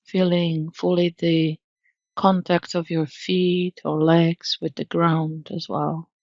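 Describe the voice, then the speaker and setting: A woman narrating a guided meditation in a soothing, gentle, measured manner. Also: lowish voice, flat delivery, no room sound, highs cut off.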